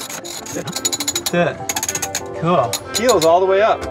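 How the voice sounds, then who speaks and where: Cheerful sailing vlogger, a man with a higher-than-average voice, talking his crew through tasks on deck. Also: animated, drawn-out words, over music. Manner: upbeat, encouraging, narrates each task. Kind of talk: casual narration while sailing. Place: outdoors on a sailboat.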